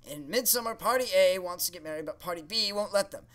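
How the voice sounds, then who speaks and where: Man doing a bright, sharp, sarcastic professor puppet. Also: high voice, quick pace. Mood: exasperated sarcasm. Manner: sarcastic, blunt, exasperated. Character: jaded, scornful male creative writing professor puppet. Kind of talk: puppet show comedy acting.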